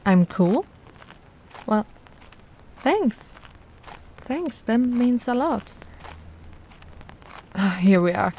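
A woman playing a tall, cool, flirty shop owner in a soft, breathy, intimate ASMR roleplay. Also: on the high side, lively intonation, very slow, long pauses, slight background noise, exaggerated voice. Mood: amused, casual. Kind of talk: ASMR romantic roleplay acting. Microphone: close mic, dry.

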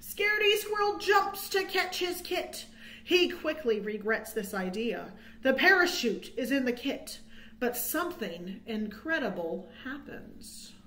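A middle-aged woman hosts a children's storytime, reading a picture book in a high voice that swings widely in pitch. She takes her time, leaning into dramatic emphasis and adding small asides for young listeners. The recording has a roomy indoor echo. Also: sing-song, a little slow, pushed and bright, a little hiss or hum. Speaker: children's librarian, storytime host. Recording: roomy, echoing indoor sound.

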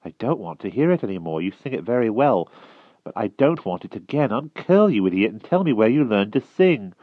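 A man's voice: lowish voice, very animated, fairly quick, no room sound, voice pushed up. A man voicing an earnest young boy who coaxes and grows impatient. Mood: coaxing. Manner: coaxing, then exasperated. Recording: tinny phone-like dry sound.

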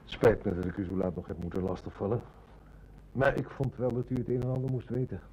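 Radio drama inspector male actor: formal, firm, very deep and mellow, on a dull, noisy old recording. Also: little pitch movement, close to an everyday voice. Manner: formal, measured. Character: polite, firm, determined male police inspector. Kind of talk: Dutch radio drama acting.

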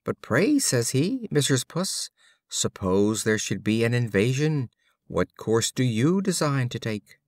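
A man's voice: mid-range voice, very animated, measured pace, darkish tone, no room sound, clean studio sound. A man voicing a sly, smug talkative fox in a fable, with courtly old-fashioned phrasing. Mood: smug, probing. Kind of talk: fable storytelling.

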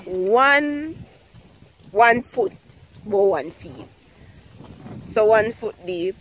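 A woman's voice: medium pitch, animated, drawn-out words, a bit bright, slight background noise, rough recording. A young woman with a Jamaican accent, vlogging casually on a rural farm and teasing her friends. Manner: playful teasing, lots of laughing. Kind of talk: casual vlog chat. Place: outdoors on a grassy rural farm.

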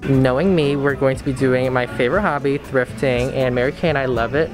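A woman's voice: a bass voice, steady tone, fairly quick, over loud music. A woman in her twenties vlogging in a bubbly, slangy, playful way, filmed on a handheld camera at arm's length. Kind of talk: casual vlog chat. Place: outdoors, in a car and in shops.

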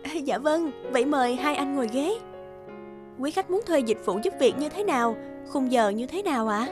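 A woman voicing a polite, brisk agency receptionist in a cartoon, with formal customer-service courtesy. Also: high-pitched, lively intonation, music in the background. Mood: polite, businesslike. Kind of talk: animated moral-tale voice acting.

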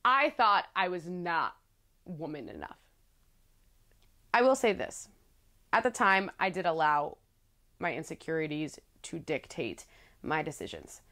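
A young woman in her twenties talks straight to the camera from a small, plant-filled living room. Her voice sits a little low for a woman, and she speaks in a candid, chatty, playful way, laughing easily as she tells her story. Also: very animated, measured pace, pauses often, pushed and bright. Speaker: vlogger. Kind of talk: casual talking-head storytelling.

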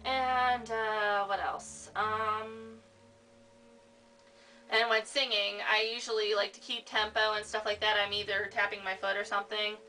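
A woman's voice: medium pitch, flat delivery, a little slow, pushed and bright, soft background music, low-quality recording. A young American woman giving a casual, rambling, unscripted tutorial at home in an informal way. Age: young adult. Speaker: tutorial host, amateur fandubber. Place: cluttered room at home.

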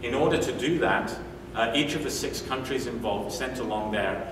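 A man's voice: mid-range voice, normal speed. British man talking in an echoey meeting room. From United Kingdom. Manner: conversational, frequent "uh" fillers and restarts. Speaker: conference speaker. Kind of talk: conference talk. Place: hotel meeting room, indoors, medium size. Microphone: room mic from a distance. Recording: echoey room, distant mic, noisy.

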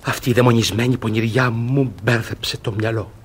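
A man's voice: mid-range voice, steady tone, husky, lightly acted. An actor playing a bitter, woman-hating guardian in a classic radio comedy, theatrical and indignant. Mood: stunned, bitter fury.

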